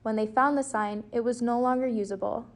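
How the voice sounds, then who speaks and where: Higher-voiced woman reporting the news. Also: fairly even intonation, brisk. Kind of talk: scripted TV news report narration.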